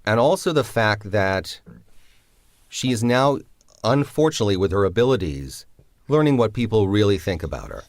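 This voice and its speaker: Man, very low voice, dry close sound, reflective, hesitant, interview answers. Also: lively intonation, moderate pace, dry recording. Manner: reflective, hesitant, many fillers. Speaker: writer of the drama.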